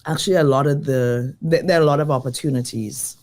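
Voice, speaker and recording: Croaky, lively woman design educator. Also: extremely low pitch, fast talker. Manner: lively, thoughtful, many fillers. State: croaky from jet lag. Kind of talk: interview answers.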